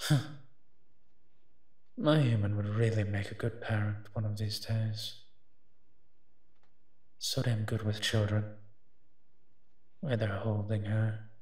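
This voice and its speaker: A man playing a wry, teasing monster who partners a human detective in a roleplay audio drama, speaking with hums and pauses. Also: on the low side, husky, no echo. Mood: soft, wistful.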